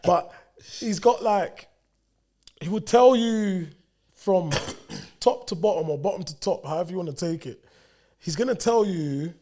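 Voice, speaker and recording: Slangy man, animated podcast chat. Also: sits high, a little slow, long pauses. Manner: slangy, animated, repeats himself. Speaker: podcast co-host, personal trainer. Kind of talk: casual chat.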